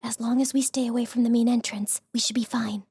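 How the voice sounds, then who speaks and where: High-voiced, wary young student. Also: female voice, level tone, fairly quick, mellow, breathy edge, no room sound, studio quality. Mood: reluctant, hushed. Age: young adult. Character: cautious, clever young female student. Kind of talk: audiobook drama acting.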